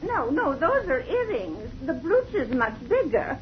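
A woman's voice: high voice, sing-song, measured pace. An actress playing a distraught young woman who pleads tearfully, in a 1950 radio drama. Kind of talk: 1950s radio drama acting. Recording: dull noisy old radio recording.

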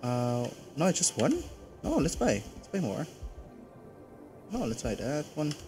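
Animated Filipino man streaming a game. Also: fairly high pitch, measured pace, over music. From Philippines. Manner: rambling, thinking aloud. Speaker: live streamer. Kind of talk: casual gaming stream chatter.

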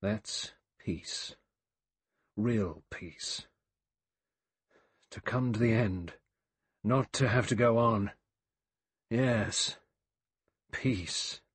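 A man's voice: on the low side, very slow, pauses often, slightly rough, no echo, exaggerated voice. A man voicing an abrupt, testy old army general resigned to doom in an audiobook mystery. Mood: strange calm resignation. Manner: abrupt, explosive, then resigned.

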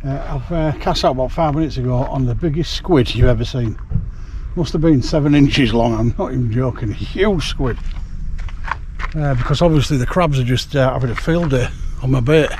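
A man's voice: medium pitch, moderate pace, noisy background. A middle-aged man gives a rambling, casual fishing update to camera, outdoors at night. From England. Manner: rambling, chatty, with many fillers. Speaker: fishing vlogger.

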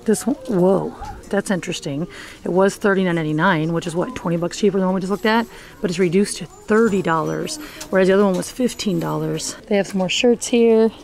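A woman's voice: fairly low pitch, brisk, gravelly, darkish tone, faint music behind. A woman in her thirties chatting upbeat to camera as she walks a large store, reading out prices. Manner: chatty, upbeat, reads out prices, frequent gasps and little laughs. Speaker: shopping vlogger. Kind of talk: casual vlog walkthrough. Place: large indoor retail store. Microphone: handheld wide-angle camera at arm's length.

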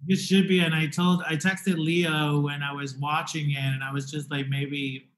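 A man hosting a talk show over a video call, talking in an animated, expressive way. His voice sits a bit higher than most men's and comes through a desk mic in a living room. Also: normal speed. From Puerto Rico. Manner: animated, gestures with hands while talking. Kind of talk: casual video call interview. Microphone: desk podcast mic on video call.

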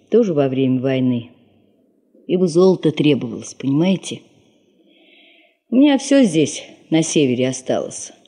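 An actress playing a weary, bitter middle-aged secretary in a 1970s Soviet radio drama, with an expressive, rueful manner. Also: female voice, on the low side. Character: weary, bitter older secretary, a bereaved mother in the north.

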